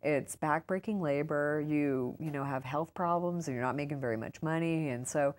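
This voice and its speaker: Woman, American accent, low pitch, interview guest, casual conversational style, chatty, informal filler phrases. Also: normal speed. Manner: informal and chatty, peppered with "like" and "you know". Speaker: interview guest, author and urban farmer.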